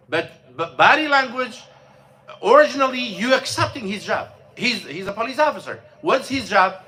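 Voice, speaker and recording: A man, an imam, giving an informal mosque lesson on scripture, halting and searching for words, with non-native English grammar and frequent fillers. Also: high voice, sharp tone, low-quality recording. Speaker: imam teaching a class.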